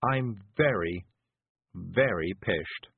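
A man's voice: low voice, lively intonation, extremely slow, vocal fry, breathy edge, no echo, heavily acted. A man voicing a dazed, weary older woman witch who speaks faintly and dreamily. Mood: dreamy. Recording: dry tinny phone-like sound.

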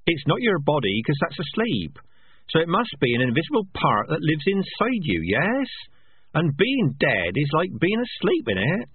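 A man voicing an earnest, curious young male rat who keeps asking questions in a raised, squeaky voice. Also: wildly swooping pitch, normal speed, a little hiss or hum. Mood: wondering. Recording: dry, tinny phone-like sound.

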